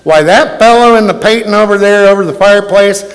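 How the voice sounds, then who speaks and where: Man as brash folksy American father, high pitch, skeptical, radio play, long reverb. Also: brisk. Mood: proud. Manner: folksy, blustering. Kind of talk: live old-time radio play acting. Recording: echoey hall stage recording.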